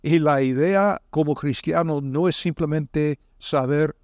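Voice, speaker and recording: A man hosting a podcast, asking his guest questions and explaining the topic in a measured, friendly way. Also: a little high, a little mellow, dry recording, clean studio sound. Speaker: podcast host.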